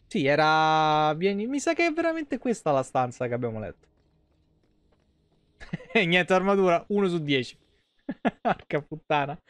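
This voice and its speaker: A man's voice: on the high side, normal speed, long pauses, clear, resonant tone, dry recording. A young man giving casual, foul-mouthed gameplay commentary as a live streamer. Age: young adult. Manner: foul-mouthed, animated reactions to game and chat. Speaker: video game live streamer. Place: small indoor room at home.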